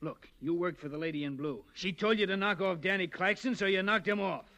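An American actor playing a tough, blunt police sergeant in a 1940s radio crime drama, slangy and menacing. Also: male voice, high-pitched, a little fast, sharp tone. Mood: bullying.

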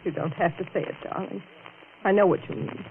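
An actress playing a calm, firm woman protecting the man she loves in a 1940s radio drama. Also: medium pitch, a little fast, lightly acted. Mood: tender. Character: cool-headed woman shielding her lover. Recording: tinny lo-fi 1940s radio broadcast with hiss.

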